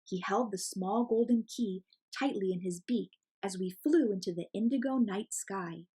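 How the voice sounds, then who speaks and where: A woman reading a picture book aloud as an expressive storyteller for children. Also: medium pitch, moderate pace, husky, poor audio.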